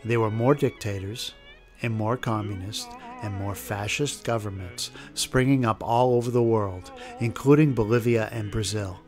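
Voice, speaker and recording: A man's voice: lowish voice, moderate pace, darkish tone, faint music behind. A man hosting a documentary with scripted history narration. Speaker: documentary host.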